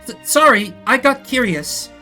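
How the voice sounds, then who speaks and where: A man's voice: extremely high pitch, animated, a little slow, bright, forceful tone, soft background music, voice pushed up, full character voice. A man voicing a young, polite apprentice rodent in a video game, earnest and hesitant. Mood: sheepish. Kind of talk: voiced story video game dialogue.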